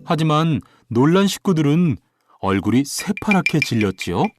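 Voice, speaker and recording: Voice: medium pitch, sing-song, normal speed. A narrator telling a children's folktale expressively, playing up the sound words. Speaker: storyteller narrator. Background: music.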